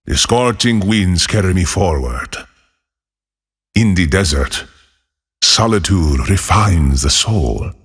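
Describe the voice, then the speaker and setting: An actor playing a solitary, haunted former monk turned lawless desert wanderer in a video game, speaking in a solemn, measured, husky voice. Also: male voice, deep voice, expressive, a bit bright, exaggerated voice. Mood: brooding, solemn. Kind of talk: scripted game character voicelines.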